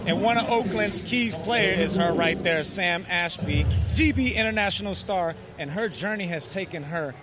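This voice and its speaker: High-voiced male sports presenter over music. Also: moderate pace. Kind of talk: broadcast hosting, prompting a pundit with questions. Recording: loud music behind the voice. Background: loud music.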